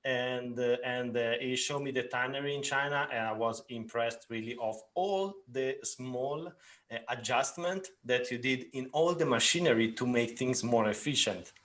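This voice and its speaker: A man's voice: medium pitch, moderate pace, a bit bright. A man co-hosting a talk, a non-native English speaker who hesitantly searches for his words. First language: not English. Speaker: co-host. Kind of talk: conversational commentary.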